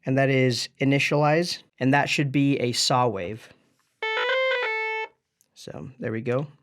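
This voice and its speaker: A man's voice: mid-range voice, fairly even intonation, takes it slowly, over loud music. Man giving a casual gear tutorial. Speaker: music gear tutorial presenter.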